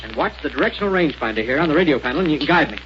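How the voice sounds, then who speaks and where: An actor playing a confident, calm space pilot hero in a 1930s radio drama. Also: male voice, a little high, very fast. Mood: determined. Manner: calm and assured. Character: brave heroic space pilot, adult man, confident. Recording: dull lo-fi old radio recording with loud hiss.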